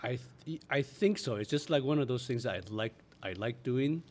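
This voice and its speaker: A middle-aged man from Taiwan reminiscing casually in an interview, in a halting, self-correcting way. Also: medium pitch, expressive, a little mellow. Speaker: interview guest, architect. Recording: noticeable background noise.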